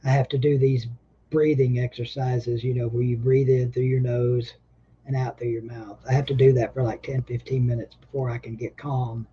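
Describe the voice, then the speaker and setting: A man's voice: mid-range voice, little pitch movement, moderate pace, slightly rough, muffled recording. A man hosting a casual livestream chat, rambling and laid-back, a bit short of breath. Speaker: livestream host.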